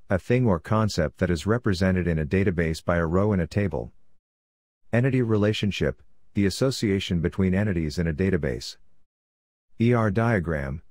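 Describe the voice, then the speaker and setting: A man narrating a scripted slide tutorial, reading through lists in an even, methodical tone. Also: sits low, no echo, studio quality. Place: voice-over over slides.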